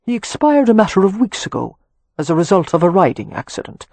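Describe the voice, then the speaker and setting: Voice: medium pitch, very animated, fairly quick, darkish tone, no echo, pitched above the performer's own voice. A reader doing an imperious old Irish earl. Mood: haughty. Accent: Anglo-Irish. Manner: cold, commanding and dismissive. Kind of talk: audiobook character reading.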